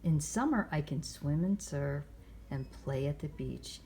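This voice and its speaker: A woman hosting storytime, reading a picture book aloud to young children with measured, expressive phrasing. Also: deep voice, a little hiss or hum. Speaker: library storytime host.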